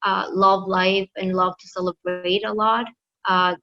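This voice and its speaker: A woman giving a class presentation with slides, pausing often with fillers and restarting her sentences. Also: medium pitch, level tone, pushed and bright, dull audio, faint music behind. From Greece. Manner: hesitant, many fillers and restarts. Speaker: student presenter.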